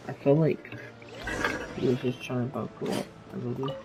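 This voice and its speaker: A man giving casual, halting, rambling commentary as he plays through a game. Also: medium pitch, flat delivery, vocal fry. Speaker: game streamer. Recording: muffled lo-fi mic, game music behind.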